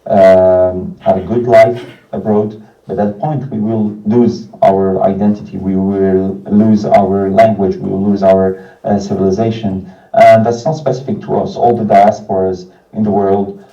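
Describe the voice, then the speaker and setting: A man in his thirties speaks in a very dark, low voice. He gives a prepared talk haltingly, pausing often. The recording is echoey and distant. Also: little pitch movement, faint music behind. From France. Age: 30s. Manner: hesitant, halting delivery with frequent pauses. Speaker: non-profit organization founder and representative. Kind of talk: informal prepared talk by video message. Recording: muffled, distant, echoey video playback.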